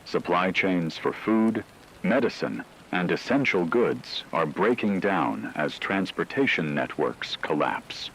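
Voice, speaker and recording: Deep-voiced, grave radio news anchor played by a man. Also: lively intonation, fairly bright tone, a little hiss or hum, heavily acted, rough recording. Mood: grave, measured. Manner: measured, formal, factual delivery. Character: calm, grave male radio news anchor reporting an apocalypse. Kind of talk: scripted radio news report.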